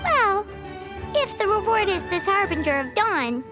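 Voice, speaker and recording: A woman voicing a tiny, excitable fairy-like girl companion in a video game, with a childlike, squeaky manner. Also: unhurried, full character voice. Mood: placated. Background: game music.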